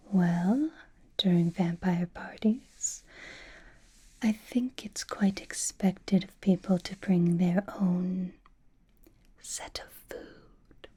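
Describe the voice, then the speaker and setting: Woman doing an elegant vampire lady for asmr, breathy whisper, real slow n mellow, teasing and possessive. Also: fairly low pitch, full character voice. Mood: amused, haughty. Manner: slow, refined, wryly teasing. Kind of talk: ASMR roleplay, whispered personal attention.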